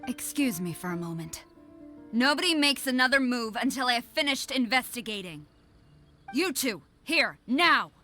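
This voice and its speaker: Stern, commanding general. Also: female voice, on the high side, animated, moderate pace, bright, forceful tone, soft background music. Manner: formal, commanding, clipped. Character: stern military general, proud and disciplined. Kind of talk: game voice acting.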